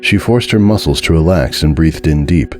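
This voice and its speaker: A man with an exceptionally deep voice reads a horror story aloud as its narrator. His delivery is dramatic, deliberate and suspenseful, with music playing underneath. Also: expressive, a little mellow.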